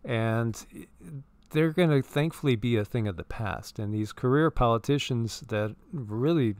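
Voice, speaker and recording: A man's voice: mid-range voice, a little slow, darkish tone, dry recording. A man co-hosting a casual spiritual commentary show, talking in a rambling, earnest way and often repeating words. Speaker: co-host.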